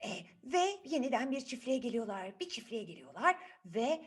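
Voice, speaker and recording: Animated woman telling children's fairy tales. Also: on the high side, normal speed. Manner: asks the listeners questions, repeats phrases for suspense. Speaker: children's storyteller. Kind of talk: interactive fairy tale narration for children.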